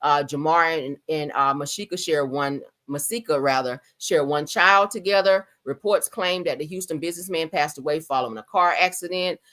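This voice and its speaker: A woman's voice: low voice, moderate pace, hardly any pauses, fairly bright tone. Chatty woman hosting a news livestream. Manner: chatty, says "child" and "honey". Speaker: livestream host. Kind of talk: casual commentary on news clips.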